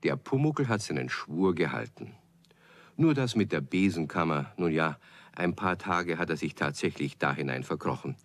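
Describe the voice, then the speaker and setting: A man's voice: a bass voice, animated, moderate pace. A man narrating a scripted children's story, chatting directly to his young listeners.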